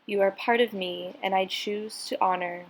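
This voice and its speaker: A woman guiding a meditation in a soothing, unhurried, instructional way. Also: mid-range voice, fairly even intonation, poor audio. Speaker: meditation guide. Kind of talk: guided meditation narration.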